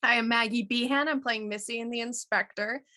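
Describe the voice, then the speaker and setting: A woman's voice: on the high side, steady tone, brisk, pushed and bright. A woman chatting casually as an actor guest, with a wry, teasing manner. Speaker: actor guest on cast panel. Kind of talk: casual chat.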